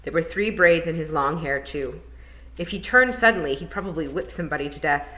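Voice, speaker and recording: Woman, low voice, teacher, expressive children's storybook narration. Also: fairly quick, poor audio.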